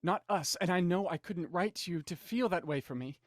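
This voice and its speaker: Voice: medium pitch, fairly quick, no room sound, studio quality, lightly acted. An actor playing a desperate, possessive writer in an audio drama, with a theatrical, pleading way of talking.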